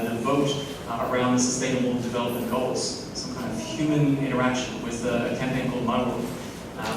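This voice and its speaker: A man with a Hong Kong accent hosting a conference, hesitant with many fillers and self-deprecating jokes. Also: mid-range voice. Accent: Hong Kong English. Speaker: conference host. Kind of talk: emcee introductions with jokes. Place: conference hall. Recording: echoey hall, distant mic, noise.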